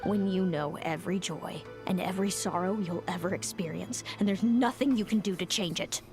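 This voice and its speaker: A woman voicing a bitter, weary young seer in a video game, speaking in a measured, cold, world-weary way. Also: mid-range voice, a little mellow, faint music behind, lightly acted. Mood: solemn explaining.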